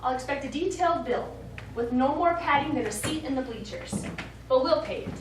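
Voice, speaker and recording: Woman acting as a cool imperious rich lady in a play, high voice, dry and pointed, echoey noisy room. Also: animated, brisk. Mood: stern, imperious. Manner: dry, pointed, crisply formal phrasing. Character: sharp-tongued, cool, imperious wealthy female client. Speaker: actor in a stage play. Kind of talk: live stage play acting. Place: small indoor theater studio. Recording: echoey, noisy stage recording from far off.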